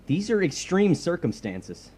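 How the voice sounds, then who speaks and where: A man's voice: high voice, big pitch swings, unhurried, warm tone, heavily acted. A man playing a theatrical, distrustful supervillain in an audio drama, sardonic and threatening. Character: theatrical, distrustful, menacing supervillain, quick to violence. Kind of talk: audio drama villain acting. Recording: clear background noise and ambience.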